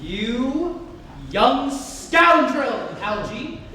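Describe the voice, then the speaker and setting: Young man playing an indignant gentleman, echoey hall. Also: very high voice, expressive, very slow, full character voice. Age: young adult. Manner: indignant, huffy. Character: exasperated, indignant young English gentleman in mourning. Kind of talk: amateur dramatic reading of a stage comedy. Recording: echoey hall, distant mic, faint noise.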